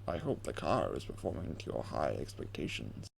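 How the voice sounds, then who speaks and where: A man's voice: a bass voice, wildly swooping pitch, moderate pace, vocal fry, a little hiss or hum, full character voice. A man playing a polite, dryly witty older tech aide to a hero in an amateur video game fan dub. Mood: dry courtesy. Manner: polite, dry.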